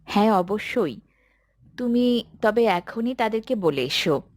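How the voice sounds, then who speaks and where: A woman playing a kind, devout mother in a cartoon, speaking in a calm, approving and instructive manner. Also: mid-range voice, slow, dry recording, studio quality. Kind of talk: cartoon dialogue.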